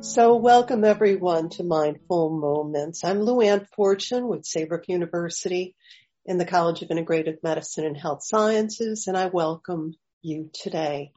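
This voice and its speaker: A woman leading a guided meditation, offering gentle invitations with long pauses between phrases, in slightly dull audio. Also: lowish voice, faint music behind. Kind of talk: slow guided meditation narration.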